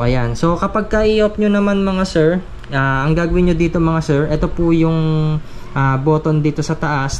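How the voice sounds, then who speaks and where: A man's voice: a little high, darkish tone, slight background noise. A man giving a casual, polite step-by-step product tutorial as a gear reviewer. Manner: polite, addressing viewers respectfully.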